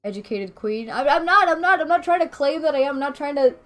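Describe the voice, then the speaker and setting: A woman's voice: sits high, expressive, fast talker, fairly bright tone. A woman from Venezuela livestreaming a game, chatting casually and rambling as she answers viewers. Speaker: game streamer. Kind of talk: casual livestream chat while playing. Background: music.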